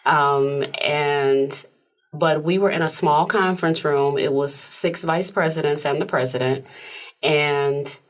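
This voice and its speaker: A woman hosting a talk show in a studio, soft-spoken and conversational. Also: low-pitched, steady tone, takes it slowly. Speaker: host. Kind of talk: casual interview chat.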